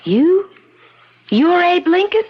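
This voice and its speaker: An actress playing an anxious, blunt young wife who presses insistently, in an old-time radio drama. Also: female voice, extremely high pitch, sing-song, slow pace, dull audio, heavily acted. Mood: doubtful, anxious. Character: anxious, sharp-tongued young wife of an accused man.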